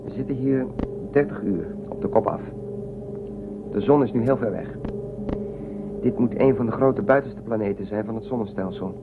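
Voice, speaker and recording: A man's voice: fairly low pitch, normal speed, pauses often, narrow, tinny audio, lightly acted. An actor playing a pragmatic, stoic male space castaway who reasons aloud in short, matter-of-fact statements in a radio play. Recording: phone-thin radio drama audio, clear noise.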